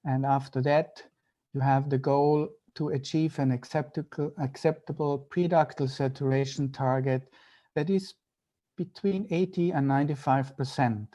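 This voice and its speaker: A man with an Austrian accent, a native German speaker, giving an online medical lecture in halting, deliberate phrases. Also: medium pitch, fairly even intonation, dark tone. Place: video call.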